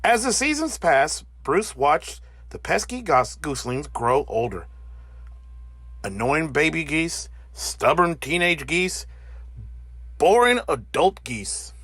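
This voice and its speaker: Very animated, slow-paced male storybook narrator. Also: a little high, slightly rough, dry recording. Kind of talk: animated children's read-aloud.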